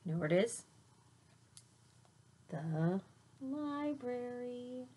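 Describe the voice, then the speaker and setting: A woman's voice: on the high side, expressive, drawn-out words, dark tone, breathy edge. Woman reading expressively to children. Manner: dramatic, questioning asides to young listeners. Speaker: teacher reading aloud to children. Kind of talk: expressive children's picture-book read-aloud.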